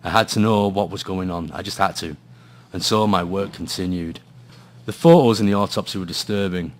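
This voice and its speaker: A low-pitched Scottish detective acted by a man from a noir radio thriller. He is world-weary and dry, speaking with understatement, as if brooding over a grim case. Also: a little fast, lightly acted. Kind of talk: noir radio drama, dialogue and brooding first-person narration.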